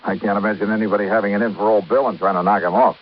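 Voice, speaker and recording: A man playing a brisk insurance investigator in an old American radio drama, with an American accent. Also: fairly low pitch, steady tone, slight background noise, lightly acted. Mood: curious, casual. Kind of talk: mid-century American radio detective acting. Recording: dull, lo-fi old radio recording.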